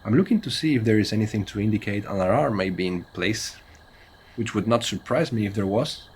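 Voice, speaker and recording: A man's voice: fairly low pitch, brisk, slight background noise, natural voice. A man playing a serious, wary Spanish-speaking monster hunter in an audio drama, with terse and formal phrasing. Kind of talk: horror audio drama acting.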